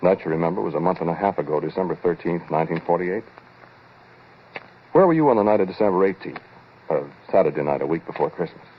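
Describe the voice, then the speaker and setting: Low-voiced wry scientist, old radio. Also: male voice, lively intonation, quick pace. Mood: brooding recollection. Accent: American. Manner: conversational, wry, teasing. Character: bantering, skeptical male laboratory scientist telling his eerie story. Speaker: narrator and lead actor. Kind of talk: 1940s radio drama acting. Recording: noisy, narrow-band 1940s radio recording.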